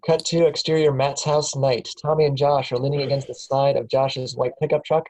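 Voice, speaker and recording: A man reading the stage directions aloud at a script table reading, in a plain, steady way. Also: medium pitch, a little fast, rough recording. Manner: plain, steady, chuckles at times.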